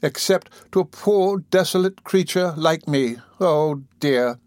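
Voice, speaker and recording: A woman's voice: sits low, animated, normal speed, no room sound, clean studio sound, voice pushed up. A man voicing a fussy, self-pitying middle-aged workhouse matron who talks peevishly and sharply. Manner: peevish, sharp, then sugary.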